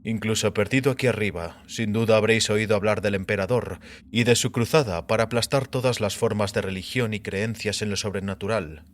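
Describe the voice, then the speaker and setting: A man voicing a mysterious, self-assured skeptic with a measured, formal and mocking manner. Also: on the low side, fairly even intonation, lightly acted. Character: mysterious male guest, self-assured, scornful skeptic of faith. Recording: background music under voice.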